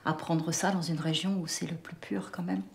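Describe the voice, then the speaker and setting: Brief: low-ish female host, casual kitchen chat, room echo, clinking dishes. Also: steady tone, normal speed, gravelly, slight background noise. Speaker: host to foreign students. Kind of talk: casual conversation. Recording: echoey kitchen, distant mic.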